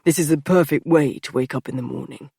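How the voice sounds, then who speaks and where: A woman voicing a teasing, confident young man in a fantasy audiobook, pitching her voice lower. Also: quick pace, vocal fry, warm tone, breathy edge, no echo, studio quality, full character voice.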